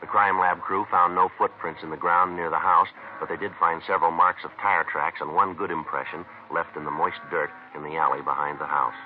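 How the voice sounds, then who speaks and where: A man's voice: low voice, fairly quick, hardly any pauses, faint music behind, lightly acted. An American man playing a stoic, clipped police detective who narrates his case matter-of-factly in a 1950s radio crime drama. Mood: flat, factual. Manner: deadpan, terse, matter-of-fact. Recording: muffled, noisy old radio recording.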